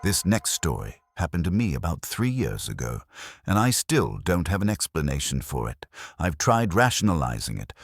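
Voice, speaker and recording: A man's voice: extremely low pitch, no room sound, clean studio sound. A man giving a scripted first-person horror narration in a grave, suspenseful storytelling manner. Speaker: narrator of horror stories, posing as a trucker.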